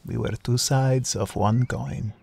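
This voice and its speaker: A man's voice: mid-range voice, steady tone, slow, gravelly, darkish tone, a little hiss or hum. A man playing a bitter, ironic narrator who looks back on his life in grandiose, literary dramatic voice-over. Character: Federico, bitter, self-loathing, ironic male narrator looking back. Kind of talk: dramatic first-person voice-over.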